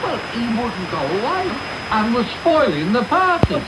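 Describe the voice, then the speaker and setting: Pompous English beadle, swooping high voice. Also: male voice, very animated, moderate pace. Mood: scornful relish. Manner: pompous, wordy storytelling. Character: pompous, callous workhouse beadle, self-important man. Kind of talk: radio period drama acting. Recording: very noisy medium-wave radio recording.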